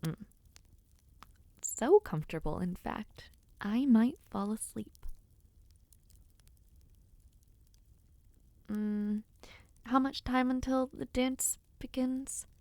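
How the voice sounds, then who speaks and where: Unhurried, earnest young noblewoman acted by a woman. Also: fairly high pitch, dry recording. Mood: sleepy, teasing. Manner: gently formal, refined phrasing, unhurried and sincere. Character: earnest young noblewoman, aspiring knight, affectionate and self-deprecating. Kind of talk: audio roleplay, intimate one-sided dialogue to listener.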